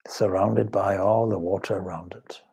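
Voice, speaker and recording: A man with a husky, breathy voice leads a guided meditation as a spiritual teacher, speaking in measured, reverent phrases with pauses. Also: low voice, fairly even intonation, dark tone. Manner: measured, reverent, pausing between short phrases. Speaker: swami leading a guided meditation. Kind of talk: slow spoken guided meditation.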